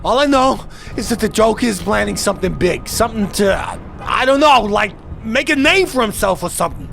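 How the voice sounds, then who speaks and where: A man playing a cowardly, terrified low-life thug who pleads in a panic, in an audio drama. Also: animated, a little fast, gravelly, fairly bright tone, faint music behind, heavily acted. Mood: panicked pleading.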